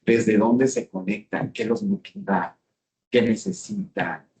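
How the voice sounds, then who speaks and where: A man's voice: fairly low pitch, sing-song, takes it slowly, darkish tone. A man with a Mexican accent giving a business seminar talk and often asking the audience questions. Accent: Mexican Spanish. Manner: engaging, asks the audience questions. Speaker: speaker.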